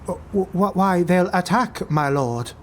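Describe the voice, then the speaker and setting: A man voicing a haughty, disdainful dark elf knight who defers to his lord in a fantasy audiobook. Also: high-pitched, expressive, takes it slowly, pitched above the performer's own voice. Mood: taken aback. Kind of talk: fantasy audiobook character acting. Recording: music bed behind the voice.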